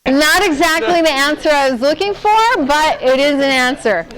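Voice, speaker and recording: A woman running a management training workshop, talking in a lively way, asking the audience questions and teasing them by name. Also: sits high, moderate pace, crowd noise, low-quality recording. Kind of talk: interactive management training talk. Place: training room.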